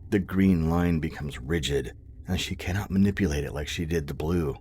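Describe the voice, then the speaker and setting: A man's voice: very deep voice, normal speed, darkish tone, slight background noise. A man narrating scripted audio fiction in a dramatic storytelling style. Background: music.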